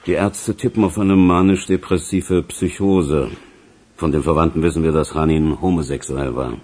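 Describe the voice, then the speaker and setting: Radio drama detective: low, dark-toned voice, brisk factual delivery, unsentimental. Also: male voice, fairly even intonation, husky. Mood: matter-of-fact. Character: matter-of-fact male police investigator closing a case. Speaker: actor in a radio crime drama. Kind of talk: German radio play acting.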